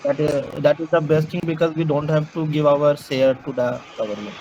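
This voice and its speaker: A man's voice: on the high side, fairly even intonation, moderate pace, muffled recording. A young man with an Indian accent, discussing casually in a group. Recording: loud traffic-like background noise.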